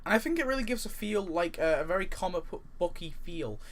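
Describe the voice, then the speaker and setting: Man, slightly high-pitched voice, casual video host, rambling and hedging commentary. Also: expressive, fast. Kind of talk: casual ranking commentary on game clips.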